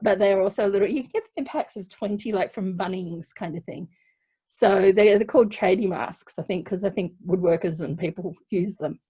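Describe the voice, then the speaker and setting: An Australian woman hosting a live online show in a casual, halting, playful and chatty way. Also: medium pitch, mellow, slightly rough.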